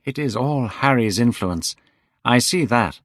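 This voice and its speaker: A man's voice: mid-range voice, lively intonation, measured pace, no room sound, clean studio sound, pitched above the performer's own voice. A man reading aloud, voicing a vain, careless young man who sounds bored and dismissive. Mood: cool, defiant. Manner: bored, dismissive, rising to sharp cries.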